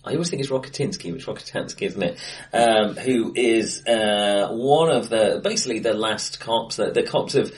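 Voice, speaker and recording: A man's voice: on the low side, normal speed, recorded from a distance. A man co-hosting a film podcast in casual chat, hesitant with lots of fillers and laughs. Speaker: podcast co-host. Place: acoustically bright room, recorded face to face. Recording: roomy, off-mic sound.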